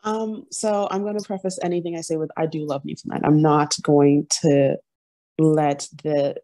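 Low, mellow-voiced woman on a video call. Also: moderate pace, no room sound. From Nigeria. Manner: earnest, frequent laughter, animated storytelling. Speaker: podcast guest. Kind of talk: candid interview conversation. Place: home room, indoors.

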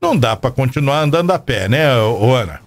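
Older brazilian guy, 60s, radio host plugging a giveaway, upbeat n playful, medium pitch. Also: lively intonation, fairly quick, slight background noise. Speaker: radio and TV presenter. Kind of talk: chatty promotional announcing. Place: small radio studio. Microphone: large broadcast mic on boom arm.

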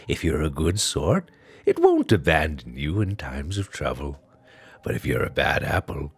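Man putting on an eerie old grandmother, drawn-out. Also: low voice, breathy edge, heavily acted. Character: caring old village grandmother, eerie and warning.

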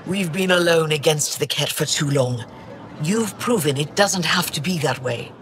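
An actor playing a stately, welcoming alien governor in a video game, formal and diplomatic. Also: male voice, a little high, expressive, normal speed, a bit bright, slight background noise. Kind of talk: dramatic game voice acting.

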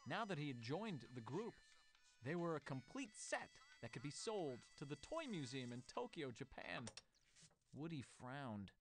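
A man's voice: fairly high pitch, very animated, unhurried, soft background music. A man reading a scripted children's storybook as narrator.

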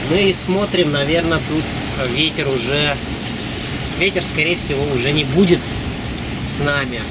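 A young man calmly narrating his sailing trip from the deck of a sailboat, in an unhurried, matter-of-fact way. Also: a little high. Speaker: sailing vlogger. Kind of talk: casual travel narration on a sailboat. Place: on a sailboat at sea, outdoors. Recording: loud wind and engine noise. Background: boat engine and water.

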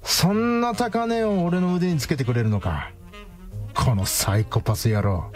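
A man playing a tough, defiant detective hero in a radio drama, speaking in a rough, taunting, deliberate way. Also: on the high side, faint music behind, lightly acted.

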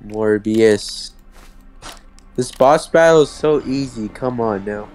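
A man's voice: mid-range voice, slow pace. A young adult man giving casual game commentary, profane and crude in his joking. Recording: game music behind the voice. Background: video game music.

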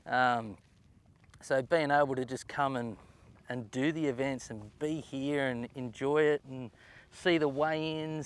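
A man with an Australian accent speaking earnestly about tournament fishing, with halting restarts. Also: a little high. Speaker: tournament angler. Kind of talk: reflective interview and tips.

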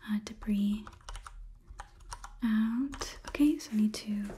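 A woman in her twenties performing a whispered ASMR roleplay as a politely detached robot examiner, murmuring in a soft, unhurried, clinical manner. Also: mid-range voice, slight background noise. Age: 20s. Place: small indoor studio set. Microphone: close microphone, on camera.

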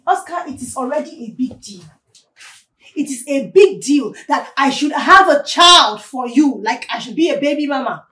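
Indignant girlfriend, high voice, echoey room, film dialogue. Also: expressive, moderate pace, fairly bright tone. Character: indignant young girlfriend refusing to be baby mama. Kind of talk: Nollywood film acting. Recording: echoey room with faint music.

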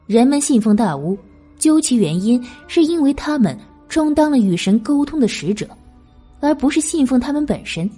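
A woman reads a historical novel with expressive, literary phrasing. Her voice sits fairly high and moves through animated intonation. The tone is mellow rather than bright, suited to dramatic storytelling. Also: normal speed, husky, soft background music. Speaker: audiobook narrator.

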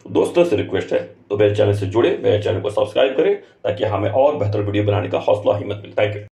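A man's voice: lowish voice, animated, quick pace, creaky, mellow. Man in an echoey room. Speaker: channel host, air-conditioning technician. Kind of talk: scripted channel introduction.

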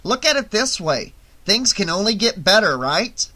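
An excitable, chubby young man, put on by a male narrator for an audiobook. His voice jumps high and bright, with emphatic, giddy delivery. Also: normal speed, exaggerated voice. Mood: upbeat. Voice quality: hoarse when shouting. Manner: exultant, emphatic.

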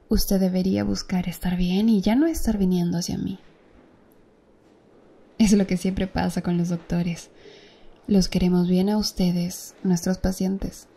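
A woman acting as a calm, attentive female doctor in an ASMR roleplay, speaking softly and close to the listener. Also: mid-range voice, creaky, breathy edge. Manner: soft, close-up ASMR speech, gently addressing the listener.

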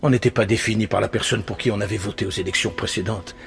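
An actor playing a jaded middle-aged news anchor in a dubbed TV drama, speaking in a biting, emphatic, rhetorical manner. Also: male voice, mid-range voice, expressive, fast, soft background music, natural voice. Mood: wistful, solemn. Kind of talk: dramatic monologue in a dubbed TV series.

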